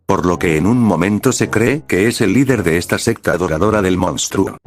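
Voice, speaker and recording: A man's voice: deep voice, brisk, soft background music. A man narrating a scripted retrospective video as its presenter.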